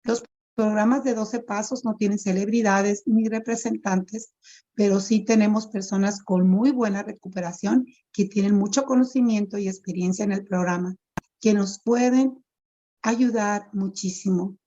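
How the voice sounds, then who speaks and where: A woman moderating a support group meeting, reading the opening statements and prayer in a measured, formal way over a video call. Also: medium pitch, steady tone, warm tone, highs cut off.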